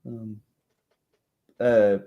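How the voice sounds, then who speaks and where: A man's voice: on the low side, flat delivery, extremely slow, dull audio. A man voicing a puzzled, drowsy woman barkeep who hesitates and thinks aloud in a tabletop roleplay. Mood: thoughtful.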